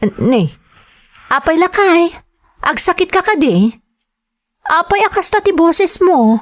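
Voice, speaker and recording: A woman playing a devoted, reassuring wife and mother in a radio drama, with an Ilocano accent and expressive, emotive delivery. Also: extremely high pitch, a little slow. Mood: surprised, concerned.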